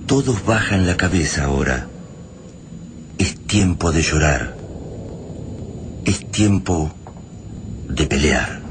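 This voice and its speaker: An Argentine actor serving as solemn narrator of a radio drama, reading scripted lines with dramatic pauses. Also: male voice, sits low, lively intonation. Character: solemn male narrator of a post-invasion war tale. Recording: clearly noisy radio drama ambience.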